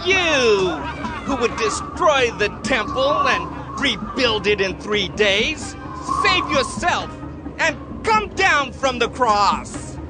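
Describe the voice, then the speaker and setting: An actor playing a scornful passerby in a scripture audio drama, declaiming in a mocking, drawn-out way. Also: male voice, very high voice, big pitch swings, heavily acted. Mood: mocking, jeering. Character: jeering, scornful male passerby taunting the condemned. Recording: loud music and crowd behind. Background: loud music, crowd noise.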